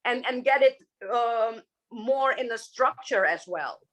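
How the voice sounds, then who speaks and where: Fairly high-voiced woman, searching for words. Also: animated, a little slow, a bit bright, poor audio. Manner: hesitant, searching for words, many restarts. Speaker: guest psychotherapist. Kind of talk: casual spiritual conversation.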